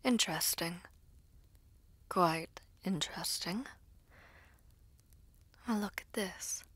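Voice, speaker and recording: A woman voices a mysterious female being, poised and secretive. She speaks slowly and formally, slipping into a breathy half-whisper with a coy, teasing edge. The audio roleplay sounds close and dry. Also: lowish voice, a bit bright, no echo, exaggerated voice. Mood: intrigued, coy. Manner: slow, composed, formal, coy. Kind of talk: audio roleplay acting, addressing the listener.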